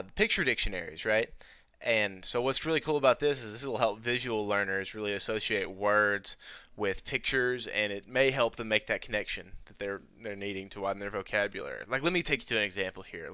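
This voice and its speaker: Bright, clear low-voiced man presenting casually. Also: fairly quick, no echo. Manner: informal, conversational, peppered with "you know". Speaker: presenter of an educational video on teaching ESL. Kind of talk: casual tutorial talk over a screen demonstration.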